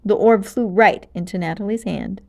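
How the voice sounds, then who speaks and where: A woman narrating a children's storybook aloud in an expressive, dramatic and clearly paced way. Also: mid-range voice, moderate pace.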